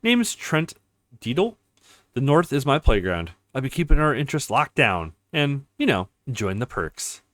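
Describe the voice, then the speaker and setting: A man's voice: mid-range voice, sing-song, moderate pace, fairly bright tone, dry recording, studio quality. Man doing a cocky, flirtatious male gangster. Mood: smug. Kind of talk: reading visual novel dialogue.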